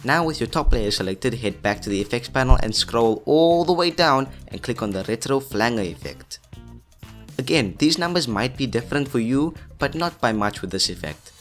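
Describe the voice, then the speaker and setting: A man hosts a how-to video with an upbeat, chatty delivery. His voice sits at an average pitch. Music plays softly behind him as he guides viewers through the steps. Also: sing-song, normal speed. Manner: chatty, addresses viewers as guys. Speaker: host of an effects tutorial channel. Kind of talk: upbeat scripted tutorial narration. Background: background music.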